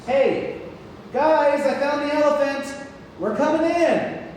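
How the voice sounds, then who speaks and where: Guy doing an excited brash circus stagehand, way high voice, exclaiming, stage play, noisy room. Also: takes it slowly. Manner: loud, exclaiming. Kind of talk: theatrical play read-through. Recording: noisy echoey hall, far from mic.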